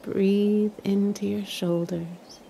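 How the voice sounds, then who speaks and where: Calm lady doing guided meditation, really slow, mellow gravelly voice, bit of noise behind. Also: medium pitch. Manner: calm, soothing, measured with long pauses.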